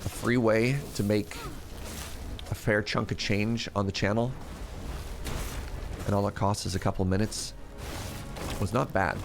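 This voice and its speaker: A man's voice: lowish voice, steady tone, measured pace, vocal fry, pauses often, music in the background. A man streaming a game, chatting casually and rambling between laughs, sounding sniffly and congested. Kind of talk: casual live-stream chat while gaming.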